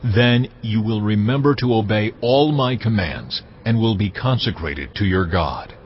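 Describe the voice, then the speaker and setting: A man playing God in a dramatised scripture reading, solemn, commanding and measured as he gives laws. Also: lowish voice, animated, a little hiss or hum. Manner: measured, authoritative, deliberate. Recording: slightly dull audio with music behind. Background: background music.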